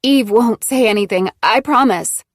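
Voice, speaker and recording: A woman's voice: sits high, animated, normal speed, fairly bright tone, no echo, clean studio sound, voice pushed up. A woman reading an audiobook, voicing a frightened, defensive fifteen-year-old girl who pleads with her friend. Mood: shaky. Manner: emotional, shaky pleading.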